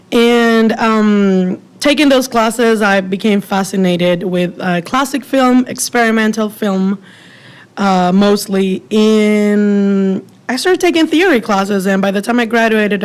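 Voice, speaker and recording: A young woman with a Venezuelan Spanish accent, answering interview questions in a relaxed, chatty way with frequent fillers. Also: mid-range voice. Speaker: filmmaker guest.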